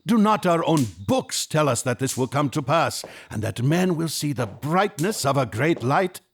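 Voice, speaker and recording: An actor in an audio drama playing an earnest, devout Magus, speaking in a solemn, impassioned, oratorical manner. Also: male voice, a little high, very animated, moderate pace, husky. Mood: earnest, insistent. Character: Artaban, earnest devout male Persian Magus, fervent seeker.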